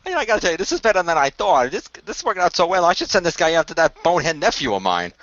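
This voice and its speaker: Guy doing a cocky underworld god villain, bright quick voice, mocking, radio play thing. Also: on the high side, very animated, no echo, muffled recording, voice pushed up. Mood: smug, teasing. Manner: fast, flippant, taunting. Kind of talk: amateur radio play acting.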